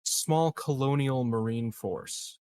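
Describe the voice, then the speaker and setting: A man playing a tabletop roleplaying game, speaking in a measured, slightly halting way in and out of character. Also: medium pitch, darkish tone. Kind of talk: casual, in-character game talk.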